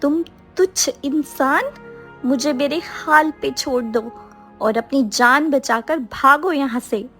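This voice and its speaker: Cartoon casting: woman as suffering young fairy, extremely high voice, slow dramatic delivery, desperate yet proud. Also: a bit bright, soft background music. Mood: bitter, scornful. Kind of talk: Hindi cartoon fairy tale acting.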